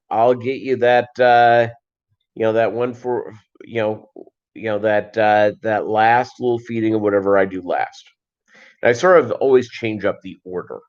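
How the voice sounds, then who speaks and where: A middle-aged American man chatting casually and unscripted on a livestream, rambling and halting with a jokey manner. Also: on the low side. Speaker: fishkeeping livestream host and voiceover performer. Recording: dull lo-fi livestream audio.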